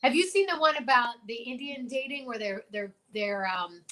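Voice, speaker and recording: A woman's voice: fairly high pitch, normal speed, pushed and bright. A woman co-hosting a casual talk show, chatting in a gushing, emphatic way and often repeating herself. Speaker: co-host of a comedy talk show. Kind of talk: casual chat.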